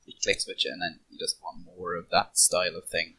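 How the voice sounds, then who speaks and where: A man with a low, slightly rough voice talks casually on a podcast panel. His tone has a forceful, bright edge. He speaks haltingly, doubling back on words as he works out his point. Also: little pitch movement.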